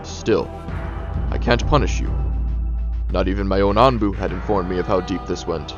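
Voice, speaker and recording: An actor playing a stern, measured older male village leader in a formal, deliberate way for an audio drama. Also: low voice, natural voice. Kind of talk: fan audio drama acting. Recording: dull lo-fi sound, faint music behind.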